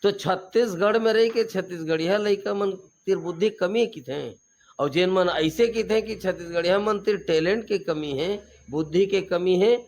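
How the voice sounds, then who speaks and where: A man's voice: high voice, moderate pace, a little mellow. A man with a Chhattisgarhi accent, a regional activist giving fiery, emphatic interview answers and repeating slogans.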